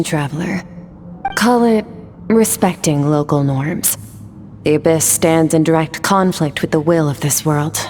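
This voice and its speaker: Woman as a low-voiced, aloof warrior mentor. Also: long reverb tail, lightly acted. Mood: cool, matter-of-fact. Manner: measured, matter-of-fact, detached. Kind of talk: video game voice acting.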